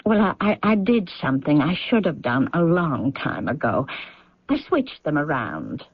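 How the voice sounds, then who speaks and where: An actress in a radio drama playing a gently anxious housekeeper, speaking in a hesitant, apologetic, earnest way. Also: female voice, low voice, big pitch swings, normal speed, husky, dry recording, heavily acted. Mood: nervous, confessing. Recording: dull vintage radio drama recording.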